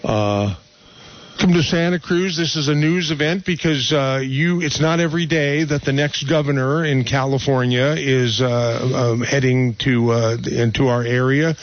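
A man's voice: mid-range voice, normal speed, dry recording, muffled recording. A man hosting a local radio interview, hesitant and full of uh and um. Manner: hesitant, many fillers. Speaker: radio talk show host.

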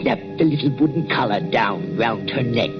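Male actor doing an old jumpy watchman, gravelly, hesitant, eerie. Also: mid-range voice, lively intonation, moderate pace, lightly acted. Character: old nervous museum watchman, man. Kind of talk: 1940s radio acting. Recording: dull old radio recording with music.

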